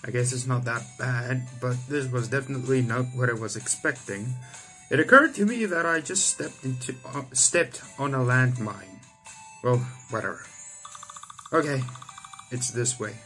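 A man's voice: mid-range voice, normal speed, pushed and bright. A young man hosting a gaming video, reading a visual novel aloud with casual commentary and stumbling over the odd line. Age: young adult. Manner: stumbles over text and rereads lines. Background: game music.

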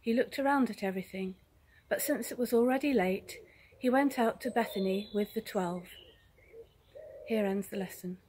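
A woman's voice: mid-range voice, normal speed, darkish tone. An older woman reads a Bible passage aloud. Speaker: Bible reader. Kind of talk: scripture reading aloud. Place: outdoors in a garden. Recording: faint outdoor noise with birdsong. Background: birdsong.

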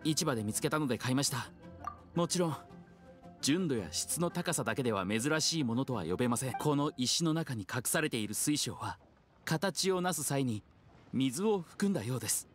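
A man's voice: mid-range voice, expressive, normal speed, lightly acted. A man voicing a modest young gem expert in an anime game, with a polite, deferential manner. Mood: earnest, respectful. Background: game music.

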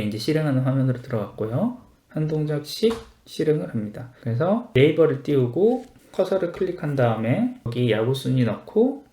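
A man narrating a step-by-step software tutorial in a polite, explanatory manner. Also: mid-range voice, echoey room. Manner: explanatory, polite formal endings.

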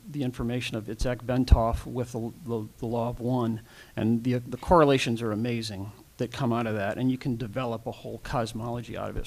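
A man in the audience of a panel talk, asking a long question and telling his own experiences, with many pauses and fillers. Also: medium pitch. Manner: hesitant, many fillers and restarts. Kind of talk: rambling personal account at a panel Q&A.

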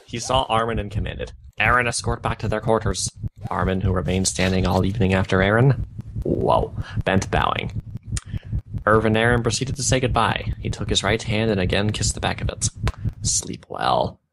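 A man's voice: lowish voice, expressive, moderate pace, vocal fry, background noise. A young man reading a story aloud, stumbling over garbled wording and breaking off with bemused asides. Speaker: fan fiction reader on a gaming video.

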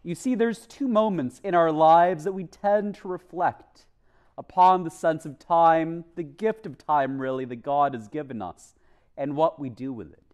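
Higher-voiced male priest preaching earnestly. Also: a little mellow, smooth, lo-fi sound. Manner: deliberate, earnest, with pauses for emphasis. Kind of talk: homily, measured preaching. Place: church chapel, at a lectern. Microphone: lectern microphone.

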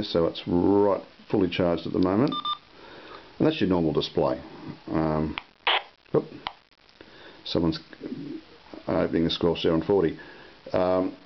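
A man giving a casual, unscripted product demonstration in a halting, unhurried way. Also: low-pitched. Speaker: product reviewer. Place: indoor room at a table. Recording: muffled lo-fi audio with hiss.

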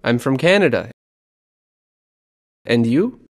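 Man reading dialogue, lively intonation. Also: mid-range voice, slow pace, long reverb tail. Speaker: dialogue reader. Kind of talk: scripted textbook dialogue reading.

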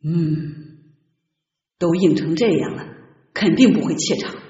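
A woman's voice: low voice, animated, takes it slowly, dark tone, husky, highs cut off, voice pushed down, heavily acted. A woman narrator voicing a lecherous, smugly confident emperor in a teasing, domineering manner for an audiobook.

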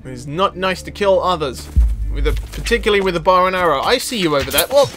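A man's voice: high-pitched, animated, fast talker, a bit bright. A man giving casual let's-play commentary, exclamatory and talking to the game's characters as he plays. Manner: exclamatory, talks to the game characters. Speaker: gaming video host. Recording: game music behind the voice. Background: video game music.

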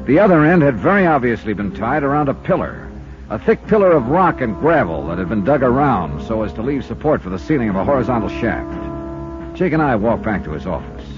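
An American man playing a cool, wry insurance investigator who narrates his case in crisp 1950s radio detective style. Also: medium pitch, very animated, fairly quick, close to an everyday voice. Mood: cool, matter-of-fact. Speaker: lead actor and first-person narrator. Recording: dull 1950s radio recording, music behind.

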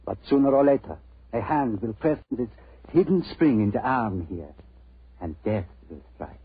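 An actor playing an old, courteous, excitable antique dealer with a German accent, in 1940s radio style. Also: male voice, medium pitch, normal speed, husky. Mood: earnest, explaining. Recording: tinny, band-limited old radio recording.

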